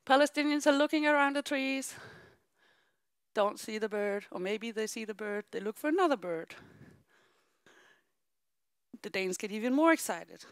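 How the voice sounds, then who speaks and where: A woman tells a story from a large theater stage. Her higher voice swings widely in pitch, and she slips into other voices when she quotes people. The handheld mic keeps her sound dry and close. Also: moderate pace, long pauses, smooth, no room sound. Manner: animated, acts out voices in quotes. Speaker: keynote speaker. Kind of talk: storytelling keynote talk. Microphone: handheld microphone.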